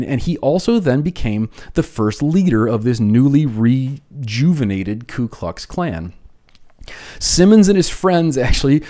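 A chatty American man comments casually on old footage for a livestream, with a mid-pitched voice. He explains things as he goes, often circling back over a phrase. He speaks close to a desk microphone in a room at home. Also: a little mellow. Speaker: video host and history commentator. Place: small home room, indoors. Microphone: close desk microphone on a boom arm.

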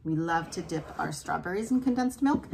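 Soft-spoken woman chatting over food. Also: fairly low pitch, normal speed, gravelly, slight background noise. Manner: soft, relaxed, savoring food between remarks. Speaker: food vlogger. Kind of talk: casual mukbang chat. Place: small indoor room at a table.

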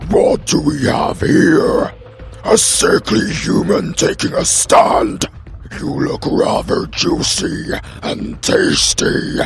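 A man voicing a menacing, boastful, hungry demon in an audio roleplay, with a harsh strained rasp and taunting villainous delivery. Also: on the high side, full character voice.

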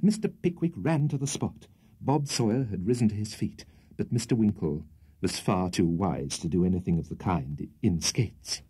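A man's voice: lowish voice, moderate pace, warm tone, breathy edge. A British man reading a literary audiobook as storyteller, in a precise, theatrical manner. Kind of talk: scripted literary audiobook narration.

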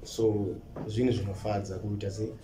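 A young man with a Zimbabwean accent, a musician guest giving calm, short answers in a studio interview. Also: fairly low pitch, moderate pace, over loud music. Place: indoor TV studio. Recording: roomy studio with faint noise.

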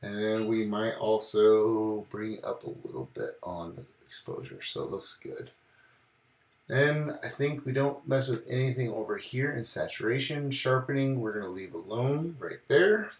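A man's voice: fairly low pitch, normal speed, roomy sound. A man still getting his voice back after bronchitis, casually walking through a photo edit in a screen-recorded tutorial. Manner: casual, relaxed walkthrough with many "we're gonna". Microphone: voice-over on screen recording.

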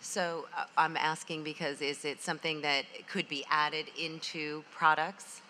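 Bright-voiced panelist asking casual questions. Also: medium pitch, level tone, measured pace, in a large hall, a little hiss or hum. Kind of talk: questioning a pitch.

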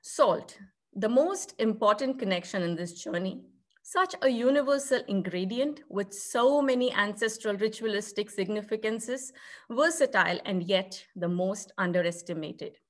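A woman's voice: medium pitch, expressive, moderate pace. A woman presenting scripted narration on a video call, with reflective, poetic phrasing. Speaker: theatre artist presenting at a conference.

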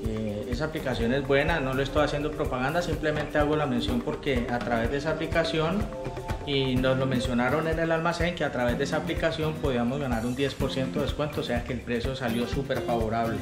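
A man's voice: mid-range voice, fast. A man in his forties with a Colombian accent, giving a casual product review to camera as a motorcycle vlogger. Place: living room at home, indoors. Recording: roomy echo, music underneath.

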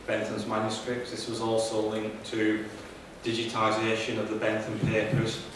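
A man's voice: lowish voice, distant mic. A man on a conference panel, speaking into a handheld mic with frequent ums and restarts. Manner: halting, many ums and restarts. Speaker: panelist, professor. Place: conference room, seated at panel table. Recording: echoey room heard from afar, noisy.

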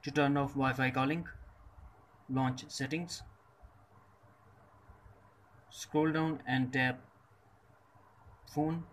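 A man narrates a scripted tech tutorial at a slow pace, with a mid-pitched voice. He gives instructions step by step, breaking them into short, separate phrases. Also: steady tone, fairly bright tone. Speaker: tutorial presenter.

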